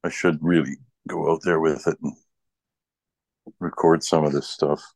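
A middle-aged man with a strikingly low voice talks in a loose, folksy way. He rambles casually as a presenter in informal chat. The sound is dry, with little room to it. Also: level tone, unhurried, vocal fry, a little mellow, no room sound. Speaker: radio show presenter.

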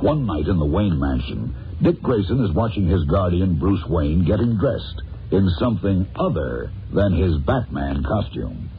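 A man's voice: sits low, very animated, measured pace, noisy background, full character voice. A man acting as a grave, theatrical narrator of an old radio-style superhero adventure. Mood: dramatic, suspenseful. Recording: tinny old recording under loud music.

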